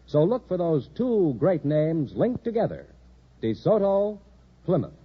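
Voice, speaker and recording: An American man reads a mid-century radio advertisement with polished emphasis. His voice is very husky and swings widely in pitch. The recording is slightly muffled, like an old broadcast. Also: sing-song, measured pace. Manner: polished, emphatic sales delivery. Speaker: commercial announcer. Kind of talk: 1950s radio commercial announcing, scripted.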